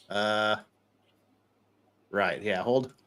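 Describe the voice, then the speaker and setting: A man's voice: lowish voice, creaky. A man hosting a casual livestream, rambling and joking as he goes. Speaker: livestream host. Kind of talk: casual livestream banter and dramatic reading.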